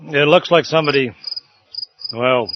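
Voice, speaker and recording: An actor playing a curt, evasive, easily irritated police officer in a comedy audio drama. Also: male voice, fairly high pitch, a little slow. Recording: muffled lo-fi, loud cricket-like night noise.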